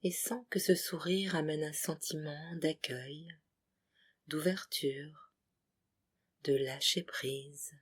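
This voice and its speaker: Casting: meditation guide, mid-pitched voice, breathy and hushed, soothing short phrases for deep relaxation. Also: fairly even intonation, slow pace, pauses often. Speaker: yoga nidra guide.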